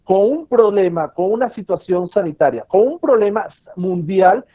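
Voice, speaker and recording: A Venezuelan man from Caracas speaks earnestly on a video call, pausing often with fillers. His voice sits a bit high for a man and comes through a thin, phone-like line. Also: animated. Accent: Caracas Venezuelan Spanish. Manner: earnest, hesitant with frequent fillers. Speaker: civil association representative. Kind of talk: formal advocacy statement.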